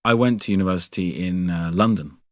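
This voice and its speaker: Very deep-voiced man from Norway. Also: brisk, dry recording, studio quality. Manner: hesitant, filler-heavy. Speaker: speaker in a course recording. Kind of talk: scripted first-person monologue.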